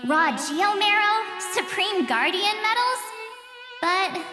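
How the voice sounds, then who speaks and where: Casting: a cheerful, sentimental girl traveler for an anime game, high-pitched, unhurried, bubbly. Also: heavily acted. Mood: bright, chatty. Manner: bubbly, expressive, girlish. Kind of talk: anime game voice acting, scripted dialogue and narration. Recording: roomy reverb under orchestral string music.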